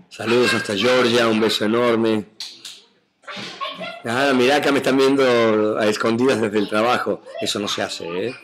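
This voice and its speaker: A man with a mixed Argentine and Mexican Spanish accent, chatting casually from his home on a live webcam stream, rambling through viewer comments with many fillers and soft laughs. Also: medium pitch, fairly even intonation, unhurried, pauses often, background noise. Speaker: actor doing a live webcam chat.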